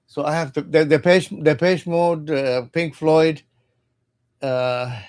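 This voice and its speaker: A man from Cuba, reading tarot cards in a halting, casual livestream chat and often repeating his words. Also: on the high side, fairly even intonation, darkish tone. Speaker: tarot reader.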